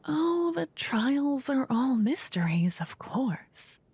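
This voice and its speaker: A woman playing a playful, haughty, easily bored queen in a fantasy ASMR roleplay, soft-spoken and teasing. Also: sits high, very animated, takes it slowly, slightly rough, dry recording, full character voice. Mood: smug, delighted. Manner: theatrical, teasing, soft-spoken with whispery phrases. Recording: close dry ASMR microphone.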